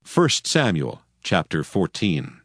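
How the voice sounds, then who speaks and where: A man narrating scripture as a scripted dramatic audiobook in solemn, measured storytelling. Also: sits low, wildly swooping pitch, fairly bright tone, dry recording, clean studio sound.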